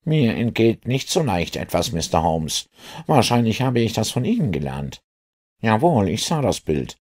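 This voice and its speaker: Guy doing a puzzled keen police inspector for an audiobook, pushed up higher, earnest questions, husky. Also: sing-song, moderate pace, darkish tone. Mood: eager. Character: eager, puzzled male police inspector, respectful admirer.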